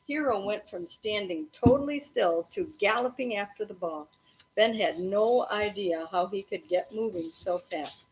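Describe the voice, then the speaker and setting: A woman narrates a children's storybook at an unhurried pace. Her delivery is expressive and engaging, aimed at a young listener. The recording carries noticeable ambient noise behind her voice. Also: medium pitch, poor audio. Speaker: reader reading a children's book aloud to a child.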